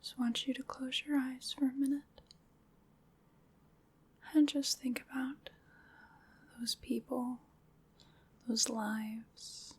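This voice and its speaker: Woman doing a soft witch asmr ritual, super breathy whispering, really slow, calm and ceremonial. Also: high-pitched, heavily acted. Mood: gentle, reverent. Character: gentle, solemn witch leading a Samhain ritual. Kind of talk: ASMR roleplay.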